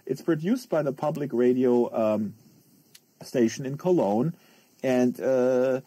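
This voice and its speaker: Mellow-voiced man hosting a casual livestream. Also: medium pitch, no room sound, rough recording. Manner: halting, rambling, conversational. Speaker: host of a live book-reading stream.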